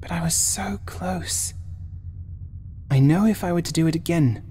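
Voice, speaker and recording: A man's voice: on the high side, a little slow, mellow, a little hiss or hum, voice pushed up, close to an everyday voice. A man voicing a grieving, desperate young woman who believes in spirits, pleading and breathless, in a horror audiobook. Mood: tearful, desperate. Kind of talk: horror audiobook character dialogue.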